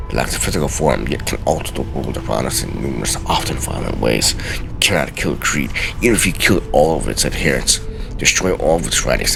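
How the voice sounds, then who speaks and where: A man giving a solemn, deliberate, theatrical dramatic reading of journal entries from a game. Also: a bass voice, creaky. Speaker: let's play host.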